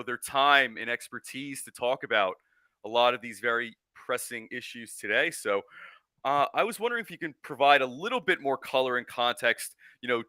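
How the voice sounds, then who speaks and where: A man's voice: mid-range voice, bright, forceful tone, clear, resonant tone, no room sound. A man hosting an interview podcast, talking in an upbeat way with lots of fillers. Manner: upbeat, uses many fillers. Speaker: podcast host. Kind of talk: conversational interview.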